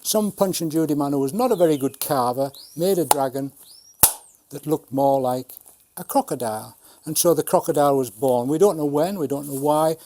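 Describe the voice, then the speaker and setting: A man's voice: on the high side, moderate pace, warm tone, slight background noise. A man in his seventies, a retired puppeteer, giving an explanatory talk about his craft. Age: 70s. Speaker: retired Punch and Judy puppeteer.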